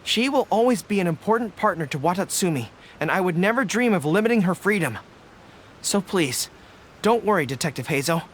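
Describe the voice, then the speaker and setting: An actor playing an earnest, honourable general in a video game, speaking formally and sincerely. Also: male voice, high-pitched, animated, fairly quick, a little hiss or hum. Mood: sincere, apologetic. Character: earnest, honourable young male army general.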